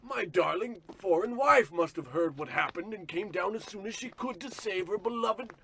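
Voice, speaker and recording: A man playing a pompous, theatrical disgraced company president in a radio comedy play, with a husky voice. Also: sits high, expressive, normal speed, fairly bright tone, exaggerated voice. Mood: grandly relieved. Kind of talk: old-time radio comedy melodrama.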